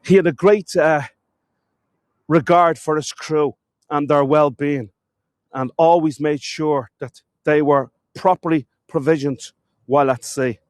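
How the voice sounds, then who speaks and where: A man's voice: fairly high pitch, fairly even intonation, a little slow, no room sound, studio quality. A man with a cold, sniffling as he gives a chatty live-streamed walking tour outdoors, talking to viewers and calling them folks. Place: outdoors in a town.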